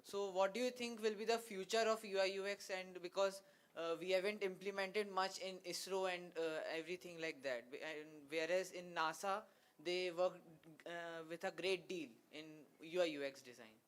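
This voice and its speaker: A man with an Indian accent and a markedly high voice asks a question from the audience. He is polite and unscripted, feeling his way through the wording. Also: steady tone, normal speed, fairly bright tone, clean tone. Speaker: audience member asking a question. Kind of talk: spontaneous question at a talk.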